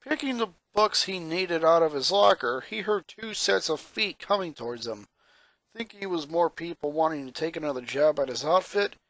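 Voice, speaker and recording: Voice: medium pitch, fairly bright tone, dry recording. A narrator reading a fan-fiction story aloud in a scripted storytelling style.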